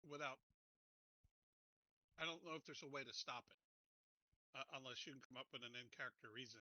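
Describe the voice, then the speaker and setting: Man, tabletop game player, casual discussion, piercingly bright tone, dry close recording. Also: medium pitch, brisk, dry recording, rough recording.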